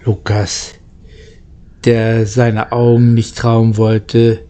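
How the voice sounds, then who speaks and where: A man reading a children's story aloud in a halting way, stumbling over words now and then. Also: fairly low pitch, monotone, mellow, slight background noise. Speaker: amateur audiobook reader.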